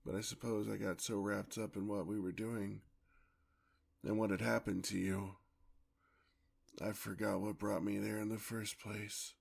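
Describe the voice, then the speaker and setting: A man playing a weary, tender, courtly male vampire in an ASMR roleplay, with a husky, gravelly voice and formal, old-fashioned speech. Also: fairly low pitch, level tone, unhurried, pauses often, heavily acted. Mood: apologetic, affectionate.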